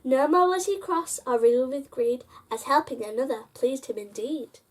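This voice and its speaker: A woman's voice: high voice, animated, moderate pace, darkish tone. A woman storyteller reading a rhyming picture book expressively, in a sing-song manner, speaking directly to children.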